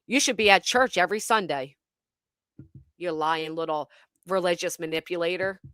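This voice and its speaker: A woman's voice: on the low side, moderate pace, a bit bright, clean tone, no room sound, studio quality. A woman hosting a commentary stream, talking bluntly and scornfully and swearing freely. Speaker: commentary channel host. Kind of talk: casual reaction commentary.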